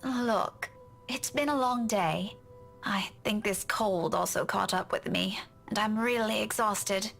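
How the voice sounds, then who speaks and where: A woman's voice: mid-range voice, slow, creaky, a bit bright, faint music behind. A woman voicing a weary, prickly young woman in a visual novel game, curt and restrained. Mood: restrained irritation.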